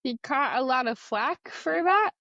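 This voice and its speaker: Gravelly-voiced woman co-host, muffled and dry. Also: high-pitched, animated, a bit bright, no echo. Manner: curious, quick reactions and questions. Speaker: podcast co-host. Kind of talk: casual chat.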